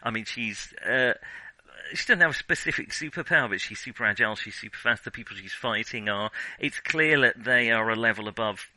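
A man's voice: lowish voice, a little fast, pushed and bright, rough recording. A man co-hosting a casual film discussion, thinking aloud in a hesitant way. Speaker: podcast co-host.

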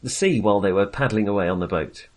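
Low-voiced man, wry and hesitant. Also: quick pace. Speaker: film podcast co-host. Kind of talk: casual conversational film discussion.

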